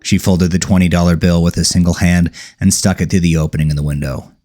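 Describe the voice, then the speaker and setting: A man narrating dramatic horror fiction as a scripted audiobook, with a gravelly, creaky voice. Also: deep voice, fairly even intonation, fast, warm tone, faint music behind.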